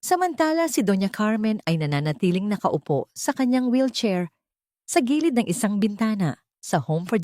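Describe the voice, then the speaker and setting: A woman narrating a scripted romantic drama story in a deliberate, sentimental way. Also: medium pitch, a little mellow, no room sound, clean studio sound.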